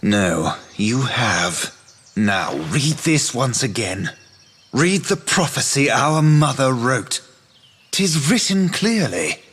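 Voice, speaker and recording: An actor playing a bitter, proud young outcast brother in a fantasy game, scornful and dramatic with archaic phrasing. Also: male voice, mid-range voice, fairly bright tone, a little hiss or hum.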